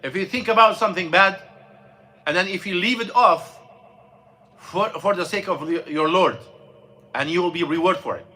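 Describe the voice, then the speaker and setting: High bright-voiced man teaching a class. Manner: plain, repetitive explaining with restarts, asks the class questions. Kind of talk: informal religious lesson. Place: mosque classroom.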